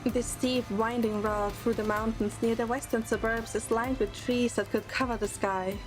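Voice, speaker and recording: A woman's voice: fairly high pitch, steady tone, moderate pace, over music, close to an everyday voice. A woman voicing a curious, earnest young female hunter recruit, narrating a game story in first person. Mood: composed.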